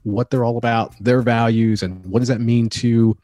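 A man's voice: medium pitch, steady tone, normal speed, mellow, dry recording, studio quality. A man co-hosting a podcast, talking loosely in casual chat. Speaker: podcast co-host.

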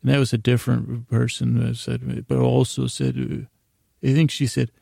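A man hosting a bedtime podcast in a meandering, halting monologue with a gravelly voice, often interrupting himself. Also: medium pitch, completely flat delivery, mellow, no room sound, studio quality. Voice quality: gravelly, creaky. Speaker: podcast host. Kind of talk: rambling bedtime monologue to help listeners sleep.

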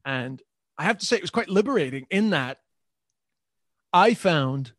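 A man's voice: fairly high pitch, lively intonation, moderate pace, a bit bright, dry recording, clean studio sound. A man with a New York accent, a comedian talking casually in an interview and often restarting his sentences. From New York, Queens. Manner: rambling, repeats words and restarts. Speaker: comedian guest.

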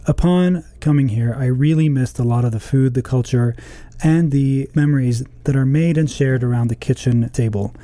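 Dark-toned Lebanese-born man telling his story. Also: medium pitch, moderate pace, no echo. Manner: earnest, personal storytelling. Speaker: small business owner in an ad. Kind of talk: scripted ad testimonial.

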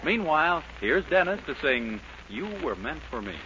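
A man's voice: a little high, very animated, normal speed, music in the background. A man announcing and narrating a 1940s radio show from a script. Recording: noisy, muffled 1940s radio broadcast.

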